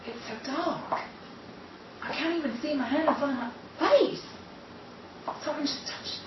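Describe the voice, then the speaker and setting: Woman performer as scared young woman in horror audio play, highish voice, anxious self-talk, noisy room. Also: normal speed, close to an everyday voice. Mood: fearful. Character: frightened young woman alone in the dark. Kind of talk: audio horror drama acting. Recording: echoey, distant, muffled, noisy room recording.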